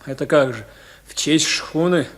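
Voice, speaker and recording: An actor playing a reflective young sailor who narrates lyrically and expressively in a 1950s Soviet radio drama. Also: male voice, on the high side, unhurried. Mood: curious. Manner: expressive, literary, wistful. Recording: old 1950s radio recording.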